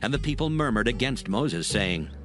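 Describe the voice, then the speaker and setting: A man narrating scripture in a measured, scripted reading. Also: mid-range voice. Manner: measured, lively intonation. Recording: music bed behind.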